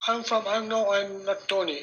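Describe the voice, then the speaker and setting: High, bright Sri Lankan man calling in. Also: fairly even intonation. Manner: hesitant, halting. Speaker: caller. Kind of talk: casual debate on a call. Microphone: remote call. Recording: dull, lo-fi call audio.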